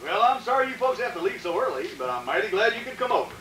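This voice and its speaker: A man's voice: high voice, very animated, quick pace, sharp tone, slight background noise, full character voice. A man playing a jovial, apologetic party host in old-time radio comedy, recorded live on a stage. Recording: echoey stage, distant mic, crowd behind.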